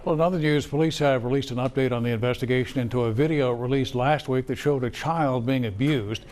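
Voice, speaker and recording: A man's voice: mid-range voice, brisk, darkish tone. An American man reading the news as a TV anchor.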